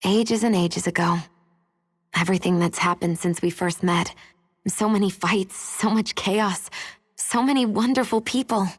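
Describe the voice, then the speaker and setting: A young fantasy game heroine, with a mid-range voice. She is composed, reflective and softly sentimental. Her words trail into long reverb. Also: medium pitch, unhurried, breathy edge. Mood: wistful, tender. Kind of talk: video game cutscene voice acting. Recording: long reverberant hall sound.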